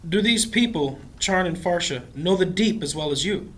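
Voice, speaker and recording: A gentle, devout healer priest played by a man in a fantasy audio drama. His voice sits a little high for a man, and he speaks patiently, formally and reverently. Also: expressive, moderate pace. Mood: helpful.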